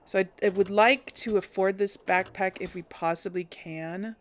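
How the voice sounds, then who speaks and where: A woman's voice: mid-range voice, fairly even intonation, moderate pace. A woman chatting casually while she plays a role-playing game, thinking aloud and laughing often. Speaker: game role-play host. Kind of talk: casual let's-play commentary.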